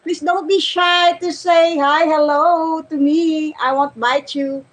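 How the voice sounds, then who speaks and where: Filipino lady doing a casual vlog chat, really slow and halting, giggly type, voice a bit high. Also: fairly even intonation. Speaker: vlogger.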